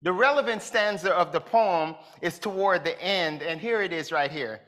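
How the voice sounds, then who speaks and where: A middle-aged man preaching as a pastor from a pulpit in a large church hall, speaking deliberately and building emphasis. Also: sits high, fairly bright tone. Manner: slow, deliberate delivery with rising emphasis. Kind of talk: sermon.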